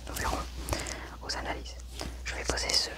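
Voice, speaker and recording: A woman whispering an ASMR medical roleplay, murmuring slow step-by-step commentary on what she is doing. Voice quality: whispered, unvoiced. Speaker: ASMR roleplayer acting as an alien autopsy examiner.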